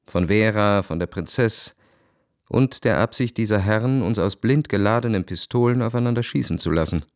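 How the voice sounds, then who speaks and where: An actor playing a cynical, world-weary young officer narrating his diary in a cool, measured, introspective way. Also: lowish voice, no echo, clean studio sound, natural voice. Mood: matter-of-fact. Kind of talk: literary radio drama narration, diary-like first person.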